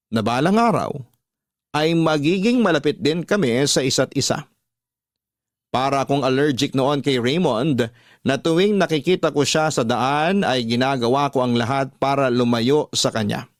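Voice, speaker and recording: A man with a Filipino accent narrating a personal story on radio in a measured, earnest, confiding way. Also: mid-range voice, dry recording, clean studio sound.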